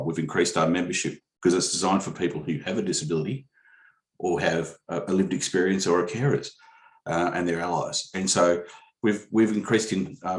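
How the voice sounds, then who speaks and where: A very deep-voiced man answers off the cuff as a panellist, pausing and restarting often. His voice has a roomy ring to it, as if he is on a call from an echoey room. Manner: halting, many ums and restarts. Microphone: video call.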